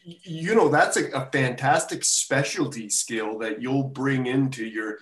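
A man's voice: medium pitch, unhurried, a bit bright. A man asking interview questions in a friendly, encouraging way. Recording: roomy, distant mic.

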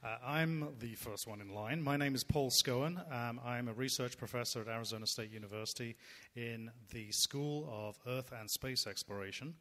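A man's voice: mid-range voice, moderate pace, bright, forceful tone. A man from England introducing himself on a panel, talking with many hesitant ums. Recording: reverberant hall.

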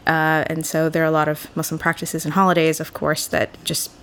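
A young woman from Boston talks through her views as a guest on a podcast. Her voice sits a bit low and carries a gravelly fry. She speaks in a thoughtful, earnest way. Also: monotone, brisk. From Boston, USA. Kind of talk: casual podcast conversation.

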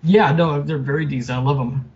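A man's voice: fairly high pitch, fairly even intonation, a little fast, heavy background noise. A man as a podcast guest, talking in a rambling, hesitant way with many fillers and easy laughs. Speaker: guest, writer. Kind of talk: casual interview. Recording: dull lo-fi remote audio.

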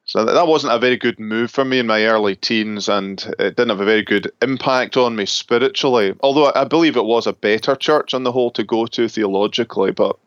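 Scottish pastor, dry dull recording. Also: male voice, medium pitch, brisk, hardly any pauses, a bit bright, clean tone, no room sound. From Scotland. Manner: thoughtful, with small laughs and restarts. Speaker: pastor and seminary professor. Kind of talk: relaxed interview conversation.

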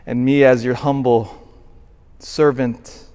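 A man in his forties, a parish priest giving a sermon, swinging from shouted emphasis to quiet asides. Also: medium pitch, flat delivery, takes it slowly, smooth. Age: 40s. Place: church. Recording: long church reverb.

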